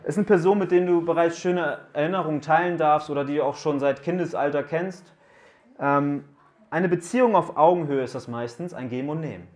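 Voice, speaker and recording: A man's voice: fairly high pitch, brisk, a little mellow. Man, 30s, youth camp preacher, casual and joking, talks to a live room. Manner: casual, joking, asks the audience questions. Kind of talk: sermon talk to young people.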